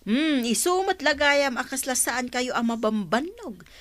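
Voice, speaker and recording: Casting: amiable older auntie, highish animated voice, Ilocano accent, radio drama. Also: female voice, unhurried, no room sound, studio quality. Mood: friendly. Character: friendly auntie, older village woman. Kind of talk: Filipino radio drama acting.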